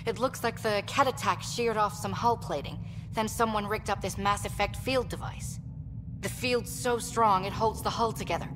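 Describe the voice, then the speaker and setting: An actress playing an earnest, devout alien starship engineer in a video game, speaking with urgent, slightly hesitant sincerity. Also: female voice, medium pitch, moderate pace, big-room sound, music in the background, natural voice. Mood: earnest, practical.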